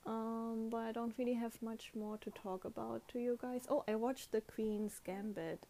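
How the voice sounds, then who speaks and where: A woman's voice: medium pitch, dark tone. A young woman with a German accent, speaking English as a non-native speaker and chatting casually on her podcast, often restarting her sentences. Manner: chatty, self-correcting, with frequent restarts. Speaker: podcast host. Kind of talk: casual monologue.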